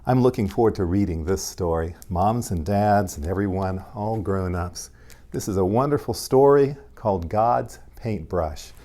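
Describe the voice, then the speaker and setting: Low, slow-talking man reading to children. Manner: gentle, simple child-directed talk with frequent questions. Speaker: pastor reading stories to children. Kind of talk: read-aloud storytime for young children.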